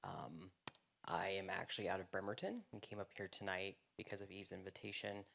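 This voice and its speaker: A man's voice: low-pitched, fairly even intonation, a little fast, creaky, fairly bright tone. A man on a panel introducing himself hesitantly, with many fillers. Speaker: social services worker, panelist. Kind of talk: self-introduction.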